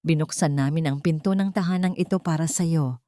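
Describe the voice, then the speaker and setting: A woman voicing a stern, wealthy older man who speaks in deep, bitter, clipped commands. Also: fairly quick, mellow, no echo, studio quality, close to an everyday voice.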